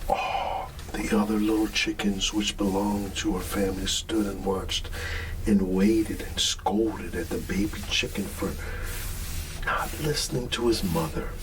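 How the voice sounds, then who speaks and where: Sleepy, slow-talking man reading a bedtime story. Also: lowish voice, steady tone, noisy background. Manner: drowsy, yawning, drawn-out halting delivery with hums. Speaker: bedtime storyteller in an ASMR roleplay. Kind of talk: ASMR roleplay, reading a children's story.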